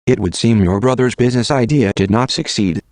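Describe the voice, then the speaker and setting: A man's voice playing a calm, well-read AI assistant, measured, formal and impartial in scripted dialogue. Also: mid-range voice, no room sound, studio quality, lightly acted. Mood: calm, analytical.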